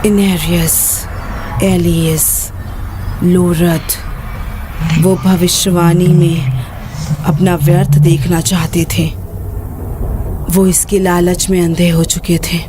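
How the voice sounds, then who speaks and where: An actress playing a grave, bitter, commanding demon mother in a dubbed dark fantasy game, speaking solemnly and imperiously. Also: fairly low pitch, level tone, slow, long pauses, background noise. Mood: solemn, resolute. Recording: music under the voice.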